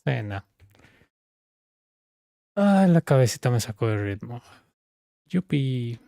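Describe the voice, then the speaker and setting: A man's voice: medium pitch, sing-song, measured pace, creaky, warm tone, no echo, clean studio sound. A man streaming games and chatting casually, with informal, slangy banter. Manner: informal, slangy banter, switching between Spanish and English. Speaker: live streamer. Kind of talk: casual gaming stream chat.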